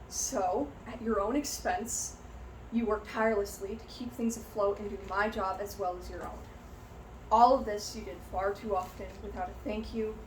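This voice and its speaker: A young woman playing a heartfelt, grateful young vampire clan heir, giving a measured, earnest prepared speech in character. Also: mid-range voice, close to an everyday voice. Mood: solemn, grateful. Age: young adult. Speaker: student giving a class speech. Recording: roomy echo, light background noise.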